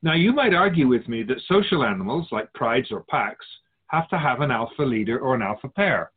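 A man's voice: medium pitch, animated, brisk, husky, phone-quality sound. A man playing a wise, wry otherworldly spirit guide in a radio drama, teaching in formal, didactic phrases. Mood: earnest, instructive. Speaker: spirit teacher answering questions. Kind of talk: scripted radio drama dialogue, expository.

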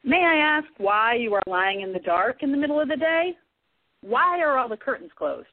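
A woman's voice: sits high, sing-song, moderate pace, no room sound. A woman acting a blunt, teasing but concerned sister in a radio play. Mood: exasperated, teasing. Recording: thin phone-like audio, dry.

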